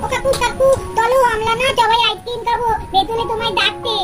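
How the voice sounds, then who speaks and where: A chirpy little child from a puppet doll story, with a very high, babyish voice. The child wheedles in a sing-song way over loud background music. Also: female voice, fairly even intonation, quick pace. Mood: excited.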